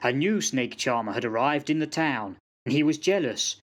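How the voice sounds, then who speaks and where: A man's voice: mid-range voice, animated, moderate pace, natural voice. A man playing a fatalistic old sergeant-major in a radio play, telling yarns in a folksy, dramatic way. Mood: wry, fatalistic. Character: old soldier sergeant-major, fatalistic yarn-spinning raconteur. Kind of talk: amateur radio play acting.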